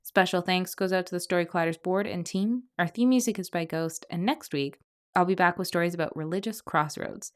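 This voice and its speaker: A woman hosts a podcast with scripted narration. Her voice is smooth and clear, pitched a little low for a woman. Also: a little fast. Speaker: podcast host and producer.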